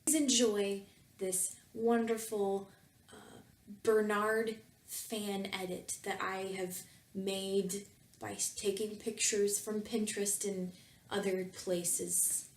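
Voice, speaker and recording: A woman's voice: mid-range voice, flat delivery, slow pace. A woman reading fan fiction aloud and commenting on it casually, with a tongue-in-cheek, mock-earnest manner. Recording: roomy echo, music behind.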